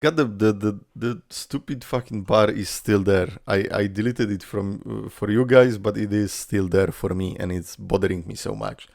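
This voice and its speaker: A man's voice: lowish voice, normal speed, vocal fry, hardly any pauses, darkish tone, no echo, studio quality. A man giving casual, playful reaction commentary with swearing, his voice tired and strained from a lot of talking. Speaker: reaction video host.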